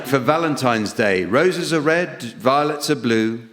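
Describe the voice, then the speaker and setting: Man, medium pitch, deadpan comic host, long hall reverb, live audience. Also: measured pace, background noise. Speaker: chairman of a comedy panel show. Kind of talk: scripted comic hosting with dry asides. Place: theatre stage before a live audience.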